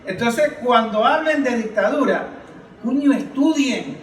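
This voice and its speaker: A man's voice: very high-pitched, animated, unhurried, reverberant, distant mic, slight background noise, poor audio. A man giving impassioned political commentary as a podcast panelist, emphatic and declamatory, repeating phrases for force.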